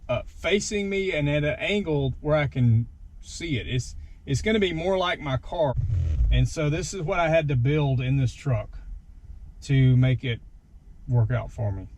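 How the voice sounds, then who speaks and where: Man, slightly high voice, informal gear demo, self-deprecating, clear noise inside a vehicle. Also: a little mellow. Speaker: vlogger demonstrating his gear. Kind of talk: casual hands-on video walkthrough. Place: inside a pickup truck.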